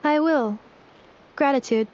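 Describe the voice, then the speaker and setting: A woman playing a polite, literal-minded young robot in a video game, speaking in a formal, precise, deadpan way. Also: high voice, slow pace, muffled recording, a little hiss or hum. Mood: pondering. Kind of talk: video game character dialogue.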